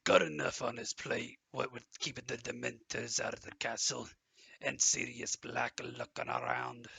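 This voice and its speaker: A man playing a distraught, soft-hearted half-giant gamekeeper in an audio drama, with rustic speech and a gravelly, husky voice. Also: medium pitch, normal speed, no echo, full character voice. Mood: upset, gloomy.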